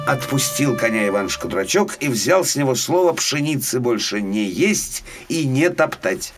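A man telling a fairy tale for small children in an expressive, theatrical storytelling style. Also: medium pitch, moderate pace, over music.

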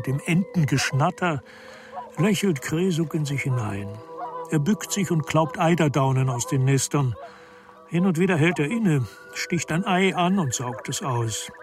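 An actor as a detached literary narrator in a radio drama, reading scripted narration in a measured, descriptive way. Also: male voice, fairly high pitch, lively intonation, over music. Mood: calm.

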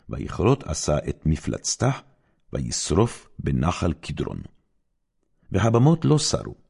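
A man with an Israeli accent reads scripture as a narrator, in a solemn, formal and measured style with short, deliberate phrases. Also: low-pitched, vocal fry, warm tone, breathy edge. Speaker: Bible narrator.

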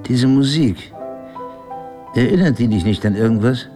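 An actor playing a sardonic middle-aged husband, a writer, in a German radio drama, probing with deliberate irony. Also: male voice, medium pitch, expressive, mellow, soft background music, close to an everyday voice. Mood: brooding.

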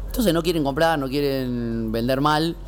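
A young man with a Rioplatense accent, bantering casually as a radio host and talking in quick bursts with restarts. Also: mid-range voice, faint music behind. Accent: Rioplatense Spanish.

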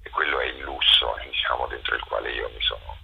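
A man with a gravelly, husky voice giving reflective interview answers, often pausing to search for his words. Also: rumbling low, fairly even intonation, phone-quality sound, a little hiss or hum. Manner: halting, searching for words, with many pauses. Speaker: interviewed theatre director and performer.

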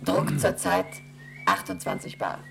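A woman voicing a cold, rule-bound station computer in a radio play, reciting rules and figures in a flat, mechanical way. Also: rumbling low, measured pace, vocal fry, over music, full character voice.

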